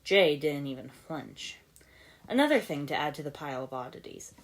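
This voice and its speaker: A woman's voice: deep voice, animated, normal speed. A woman narrating a scripted story as an amateur audiobook reader.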